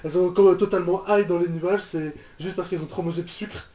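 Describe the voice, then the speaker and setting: A man's voice: high-pitched, fairly even intonation, brisk, warm tone, soft background music. A man giving casual, off-the-cuff reaction commentary, hesitant, repeating words and trailing off. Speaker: reaction video host. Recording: echoey room, muffled lo-fi microphone.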